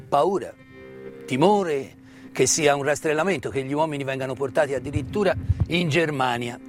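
A male theatre narrator recounts history in a somewhat high-set voice. He leans on key words and leaves deliberate pauses for dramatic weight. Soft music plays behind him. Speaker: stage actor and narrator. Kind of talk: theatrical storytelling of wartime history. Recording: live music mixed under the voice. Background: live musical accompaniment.

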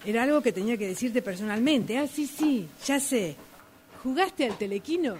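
Higher-pitched Argentine woman acting dialogue. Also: lively intonation, moderate pace, a little mellow. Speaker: radio drama workshop student. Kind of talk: acted dialogue exercise.

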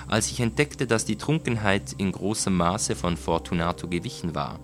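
A man reading a gothic tale as an audiobook narrator, deliberate, expressive and suspenseful. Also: sits low. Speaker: audiobook reader.

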